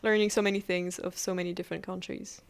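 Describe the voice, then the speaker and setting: A woman's voice: lowish voice, level tone, quick pace, creaky. A twenty-year-old woman from France co-hosting a podcast, telling a story with enthusiasm. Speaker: podcast co-host. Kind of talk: casual chat.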